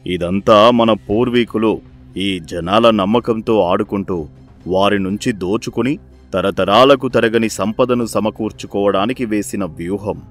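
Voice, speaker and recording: A man voicing a greedy, scheming village-head father who commands his son in a sly, domineering way, for an animated story. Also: fairly low pitch, unhurried, faint music behind, close to an everyday voice.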